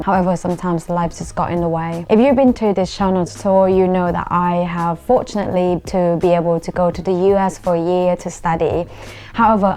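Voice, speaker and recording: A young woman with a Thai accent talks straight to camera, her voice sitting on the low side. English is not her first language, and she explains things in an earnest, self-deprecating way. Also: darkish tone. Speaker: YouTuber. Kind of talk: talking to camera.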